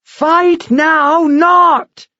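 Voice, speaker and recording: A man's voice: very high voice, takes it slowly, no room sound, voice pushed up. A man voicing a determined young woman who rides a giant eagle and gives urgent commands. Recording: dull lo-fi recording.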